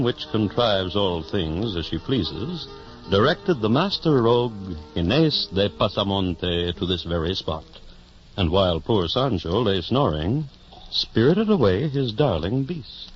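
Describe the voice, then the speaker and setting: A man's voice: fairly low pitch, very animated, a little slow, soft background music. A man narrating a 1950s radio drama in a scripted, dramatic storytelling style. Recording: muffled old radio recording.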